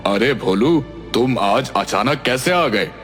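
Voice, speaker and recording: A man playing a reluctant, placid village headman in a cartoon, measured and noncommittal. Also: mid-range voice, lively intonation, a bit bright. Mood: mildly surprised. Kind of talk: animated cartoon character acting. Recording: music bed under the voice.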